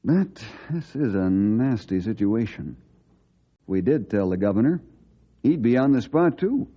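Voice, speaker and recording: Steady young ranger, muffled vintage sound. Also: male voice, on the low side. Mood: earnest, troubled. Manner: earnest, polite, measured. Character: young, steady, respectful male ranger hero. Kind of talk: old-time radio drama acting. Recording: dull old radio recording.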